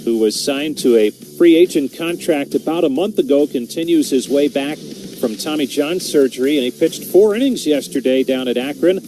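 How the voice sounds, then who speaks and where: A man hosting a baseball radio pregame show, interviewing a player in a relaxed, conversational way with a few fillers. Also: on the high side, moderate pace, over music, low-quality recording. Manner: conversational questions, some restarts and fillers.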